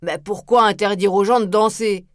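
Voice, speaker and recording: A woman's voice: medium pitch, steady tone, moderate pace, dry recording, clean studio sound, lightly acted. Male street peddler, plain earnest talk, woman reader voicing him, storybook.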